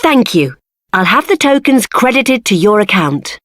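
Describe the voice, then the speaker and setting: Anxious bargaining woman, highish voice, lively pitch, dry recording, video game. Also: a little slow, no room sound. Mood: firm, bargaining. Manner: pleading yet firm.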